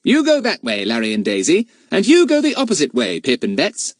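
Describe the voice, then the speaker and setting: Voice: medium pitch, wildly swooping pitch, a little fast, dry recording, clean studio sound, voice pushed up. A narrator voicing a confident, breezy boy who leads his friends in a storybook. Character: cheerful, confident boy leader.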